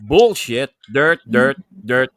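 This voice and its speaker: A man on a podcast panel talks in a casual, joking way. His voice is bright and forward at a medium pitch, recorded dry and close. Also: sing-song, slow pace, no echo.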